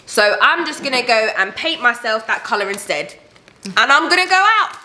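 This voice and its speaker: Woman w a sharp high voice, emphatic, making her point in a panel chat. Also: animated, normal speed. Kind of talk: animated group debate.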